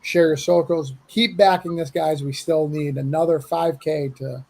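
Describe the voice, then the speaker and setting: Man, livestream host, slightly high pitch, gravelly, casual halting delivery. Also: steady tone, a little mellow. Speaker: livestream host and comic project organiser. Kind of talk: casual livestream announcement and chat.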